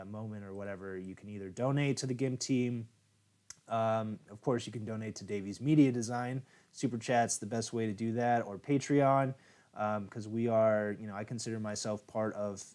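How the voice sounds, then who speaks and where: A man from the United States leads a live software tutorial, chatting loosely as he edits and filling pauses with ums and you-knows. His voice sits at an ordinary middle pitch for a man. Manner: casual, chatty, many fillers. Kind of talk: live-streamed photo editing tutorial.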